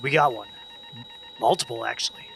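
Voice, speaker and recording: A man's voice: mid-range voice, moderate pace, vocal fry, sharp tone, slight background noise. A man playing a serious, take-charge young investigation leader in an audio drama, with a dry, terse manner. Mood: brisk, commanding. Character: Simon, serious young man leading a paranormal investigation team.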